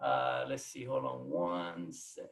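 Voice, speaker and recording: A man's voice: low-pitched, big pitch swings, takes it slowly, gravelly, far from the mic. A man with a Puerto Rican accent, a native Spanish speaker, giving an informal, storytelling seminar talk on a video call and often repeating words as he goes. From Puerto Rico. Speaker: guest seminar speaker, biology professor.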